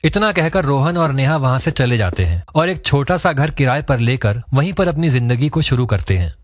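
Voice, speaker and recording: A man's voice: mid-range voice, big pitch swings, moderate pace, dry recording, muffled recording. A man narrating a scripted cartoon story as its storyteller.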